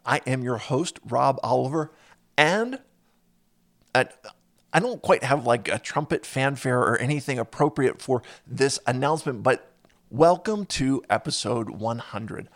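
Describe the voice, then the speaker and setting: A man's voice: medium pitch, lively intonation, measured pace, gravelly, fairly bright tone. A man hosting an interview podcast, earnest and given to restarting his sentences. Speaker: podcast host. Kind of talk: casual interview chat.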